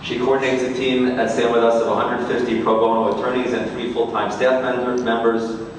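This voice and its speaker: A man with a Queens, New York accent introducing speakers at a community event as a rabbi, in somewhat halting, repetitive phrasing. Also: mid-range voice, level tone. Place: large reverberant room, community event. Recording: very echoey hall, distant mic, faint noise.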